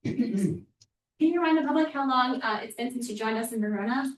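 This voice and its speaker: A woman presides over a borough council meeting with polite, formal phrasing. Her voice sits fairly high. She is picked up from a distance in a reverberant chamber. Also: a little fast. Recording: echoey chamber audio from far off.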